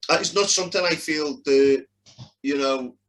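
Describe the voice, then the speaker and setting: A man's voice: fairly high pitch, moderate pace, fairly bright tone. A man with a Liverpool accent arguing his side in a heated casual debate, blunt and emphatic. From Liverpool, England.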